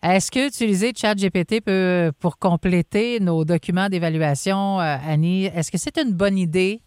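A woman's voice: fairly low pitch, moderate pace, darkish tone, smooth, dry recording, clean studio sound. A woman hosting a radio interview who asks short questions with a few hesitant fillers. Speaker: radio host.

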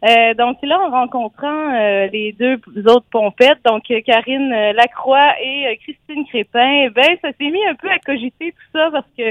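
A woman's voice: high voice, moderate pace, few pauses, no room sound. A woman with a Quebec French accent, a guest chef and sommelier on a phone interview, talking in a bubbly way with frequent laughs and fillers. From Quebec, Gatineau valley. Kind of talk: phone interview on local radio. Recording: tinny phone line, dry.

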